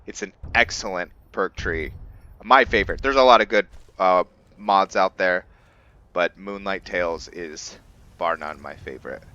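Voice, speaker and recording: Deep-voiced man, slow, halting gaming commentary. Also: sharp tone, dry recording, lo-fi sound. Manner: halting, thinks aloud with pauses. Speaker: gaming channel host narrating a playthrough. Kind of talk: casual let's play commentary of a modded fantasy RPG.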